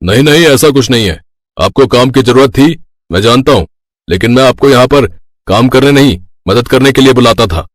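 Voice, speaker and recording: A man's voice: mid-range voice, lively intonation, fairly quick, no echo, studio quality, natural voice. A man voicing a glib, sly village shopkeeper in a cartoon story. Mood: evasive.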